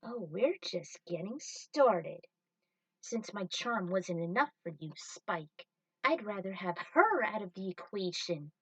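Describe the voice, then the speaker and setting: A woman's voice: mid-range voice, expressive, takes it slowly, a bit bright, no echo, far from the mic, poor audio. A woman voicing a smug, sneering female bounty hunter turned traitor in a story, cool and taunting. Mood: menacing.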